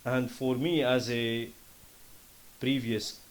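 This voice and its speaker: A man from Syria with a Lebanese accent giving an earnest, impassioned startup pitch and answering questions. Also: steady tone, slow pace. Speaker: startup founder presenting. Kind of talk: conference pitch and Q&A.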